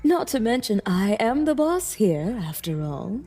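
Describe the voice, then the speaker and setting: A woman's voice: a little high, big pitch swings, a little slow, dark tone, husky, soft background music, full character voice. A woman playing a flirtatious, self-assured demon boss of a lavish city in a game cutscene, teasing and sultry. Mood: teasing, seductive. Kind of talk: voice acting for a game mod cutscene.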